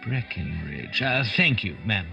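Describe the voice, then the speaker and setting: An actor playing a brisk, impatient, commanding male detective in a radio drama. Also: lowish voice, very animated, gravelly, pushed and bright, highs cut off, faint music behind, heavily acted. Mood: brisk, urgent.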